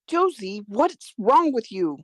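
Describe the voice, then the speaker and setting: A frightened, pleading woman played by a woman in a screenplay read. Her voice sits fairly high and swings widely with urgent emphasis. The sound is close and dry. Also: very animated, slow pace, no echo, exaggerated voice. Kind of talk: screenplay table read acting.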